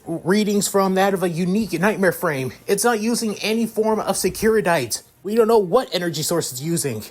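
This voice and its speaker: A man's voice: high voice, expressive, fairly quick, pitched above the performer's own voice. A man voicing a nervous male radar operator reporting strange readings, hesitant and raised.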